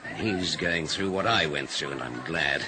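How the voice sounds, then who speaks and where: An actor in a radio drama playing a stubborn, gloating, middle-aged ex-convict with a gravelly voice and a grudge. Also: male voice, rumbling low, animated, a little slow. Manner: gloating, defiant. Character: vengeful, stubborn wrongly imprisoned ex-convict. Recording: noisy radio recording, crowd din behind.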